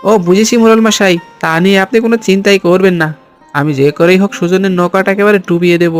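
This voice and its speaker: A man voicing a sneaky, excitable henchman who fawns on his village boss in a cartoon. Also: high voice, quick pace, smooth, faint music behind, natural voice. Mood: gleeful, scheming. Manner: obsequious, excitable. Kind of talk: Bengali cartoon voice acting.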